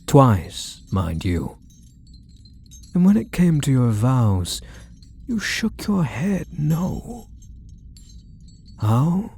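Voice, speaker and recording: A man playing a possessive, controlling kidnapper husband in an ASMR roleplay, deliberate and menacing, with condescending endearments. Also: medium pitch, mellow, husky, exaggerated voice. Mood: stern, reproachful. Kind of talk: scripted ASMR roleplay, addressing the listener.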